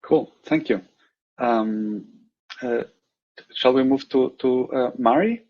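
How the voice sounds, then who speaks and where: A man's voice: mid-range voice, takes it slowly. A man moderating a panel, casually and hesitantly handing over from one speaker to the next. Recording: dull lo-fi online-call audio, faint noise.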